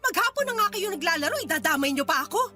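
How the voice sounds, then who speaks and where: Radio drama casting: an irritable working mother, very high, bright and forceful, scolding and dismissive. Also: sing-song, very fast, full character voice. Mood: weary, irritated. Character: irritable, tired working mother scolding her child's nanny. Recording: music bed behind the voice.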